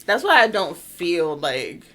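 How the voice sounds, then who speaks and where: A woman's voice: on the low side, very animated, takes it slowly, pushed and bright. A woman around thirty co-hosting a podcast, talking in a reflective, easygoing way and laughing along often. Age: 30s. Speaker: podcast co-host. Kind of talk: casual chat.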